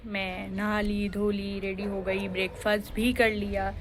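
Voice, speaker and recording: A young woman with a hoarse, sore throat, chatting casually to viewers in a daily vlog as she films on her phone. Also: mid-range voice, little pitch movement, unhurried, slight background noise. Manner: chatty, addresses viewers as guys. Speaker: vlogger and home tutor. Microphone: handheld phone.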